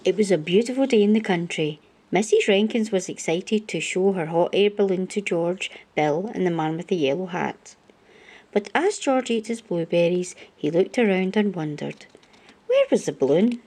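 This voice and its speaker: Mellow-voiced woman reading a storybook. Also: lowish voice, lively intonation, normal speed, slightly rough. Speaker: children's storybook reader. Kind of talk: read-aloud storybook narration.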